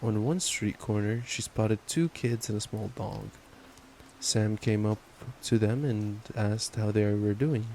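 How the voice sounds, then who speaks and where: A man's voice: fairly low pitch, a little slow, low-quality recording. A young man doing intimate boyfriend ASMR and bedtime reading, speaking in an affectionate, casual, playful way. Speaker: ASMR roleplayer playing a boyfriend. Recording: steady rain noise. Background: rain sounds.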